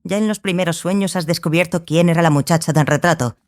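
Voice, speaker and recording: Woman playing a composed, low-key scholarly sorceress mentor. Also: fast, lightly acted. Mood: composed, probing. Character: small sorceress, learned lady mentor, composed and exacting. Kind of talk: audiobook drama acting.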